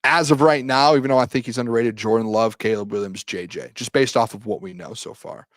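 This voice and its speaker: A man hosting a live sports talk show, hoarse from talking too much, bantering and defending his side. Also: mid-range voice, steady tone, a little fast, dry recording, studio quality. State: losing his voice.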